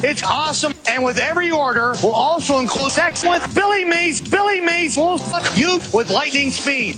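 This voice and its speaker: A crude, pushy infomercial pitchman, as a man puts him on, rattling off hard-sell patter at a very high pitch. Music plays clearly underneath him.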